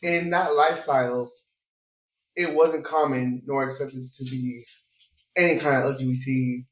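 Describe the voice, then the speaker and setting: A man from San Francisco talks through a personal story at a home dining table. He rambles and restarts, hunting for words, and laughs easily. His voice sits at an ordinary pitch and rings in the roomy space. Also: steady tone, unhurried. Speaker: guest. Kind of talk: casual group chat.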